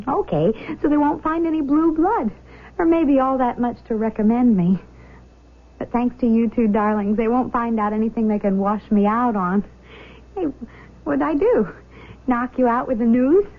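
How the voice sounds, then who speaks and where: An actress playing a breezy, slangy young daughter in a 1970s radio drama. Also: female voice, a little high. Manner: bubbly, slangy, teasing. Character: breezy, excited young daughter, newly engaged. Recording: thin phone-like old radio broadcast.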